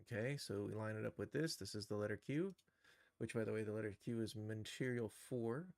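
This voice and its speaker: A man giving a casual live software tutorial, informally thinking aloud as he works. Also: on the low side, brisk, creaky, no room sound, studio quality. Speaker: streamer teaching game-engine animation.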